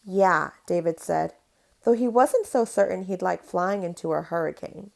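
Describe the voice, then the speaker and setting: A woman's voice: lowish voice, normal speed, vocal fry, dark tone. A woman reading a children's picture book aloud in a storytelling style.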